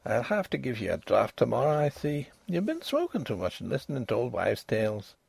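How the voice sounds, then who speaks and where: A man voicing a brisk, mocking young Irish doctor with a hearty, bossy manner in a dramatic story. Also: mid-range voice, huge pitch swings, vocal fry, a little mellow, breathy edge, dry recording, full character voice. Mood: amused, scoffing. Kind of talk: dramatic audiobook character voice.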